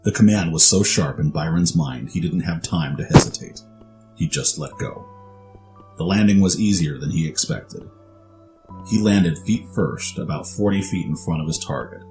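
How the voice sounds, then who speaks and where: A man's voice: sits low, moderate pace, a little mellow, soft background music. A man narrating a scripted fantasy story as its storyteller.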